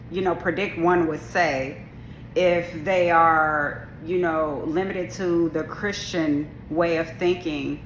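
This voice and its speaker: A woman's voice: lowish voice, fairly bright tone, slight background noise. A woman video creator talking casually to camera about spirituality, with a strong, assertive delivery and many restarts and asides. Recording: echoey room.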